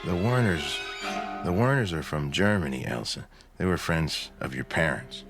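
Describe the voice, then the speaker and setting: An actor playing a steady, apologetic small-town sheriff in a radio drama. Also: male voice, deep voice, moderate pace, music in the background. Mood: explaining.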